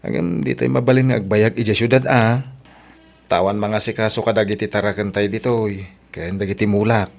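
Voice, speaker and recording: A man's voice: lowish voice, steady tone, fairly quick, vocal fry. Man acting an easygoing husband over music. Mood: practical, easygoing. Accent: Ilocano. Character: easygoing, generous farming husband and father. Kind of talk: Ilocano audio drama acting.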